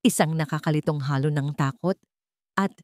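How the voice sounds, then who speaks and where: A woman's voice: low-pitched, moderate pace, darkish tone, no echo, clean studio sound. A woman storyteller reading a scripted romance story in a dramatic narrating style.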